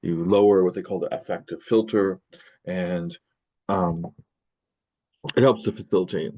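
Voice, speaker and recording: A middle-aged American man with a deep, low-pitched voice teaches a linguistics class from his desk. He talks in a loose, rambling way, full of fillers and self-corrections. It sounds like a webcam-recorded lecture. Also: steady tone, unhurried, a little mellow. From United States. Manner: loose, rambling delivery with many fillers and restarts. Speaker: college linguistics instructor. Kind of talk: recorded lecture over screen share. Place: indoor room at a desk.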